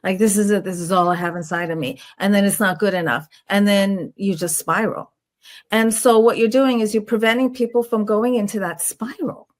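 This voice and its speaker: A woman's voice: medium pitch, fairly even intonation, moderate pace. Affirming woman interviewer with mid-range voice. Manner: affirming, reflects back what guest says. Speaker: interview host. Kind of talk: conversational interview.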